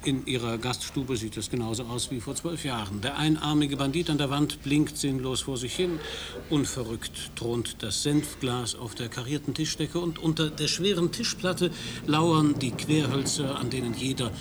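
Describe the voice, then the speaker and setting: A man's voice: medium pitch, normal speed, slight background noise, lightly acted. An actor playing an ironic American roving radio reporter who narrates his trip through a village, in a radio play. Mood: wry.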